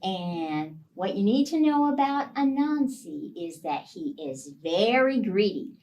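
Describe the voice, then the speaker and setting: A woman telling a children's story as a library storyteller, explaining things simply in animated phrasing. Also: medium pitch, takes it slowly, distant mic.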